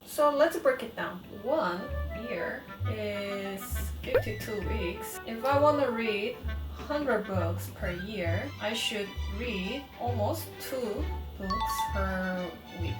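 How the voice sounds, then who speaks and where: A woman's voice: mid-range voice, animated, takes it slowly, over music. A Korean woman with a Korean accent talking casually in English, her second language, thinking aloud as she works things out. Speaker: vlogger. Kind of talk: casual vlog talk. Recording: roomy, distant from mic.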